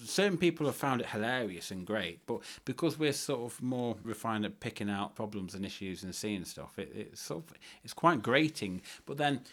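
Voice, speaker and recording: A man's voice: fairly low pitch, lively intonation, normal speed, few pauses, fairly bright tone. A man chatting casually as a podcast co-host, feeling his way through phrases to blunt verdicts. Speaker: theatre review podcast co-host. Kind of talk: casual chat.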